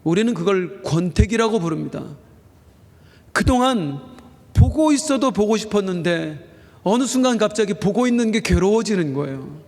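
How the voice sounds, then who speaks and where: A male senior pastor preaching a Sunday sermon in earnest, slowing down for emphasis and rising on key phrases. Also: high voice, lively intonation, a little mellow. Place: church sanctuary. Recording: reverberant church hall.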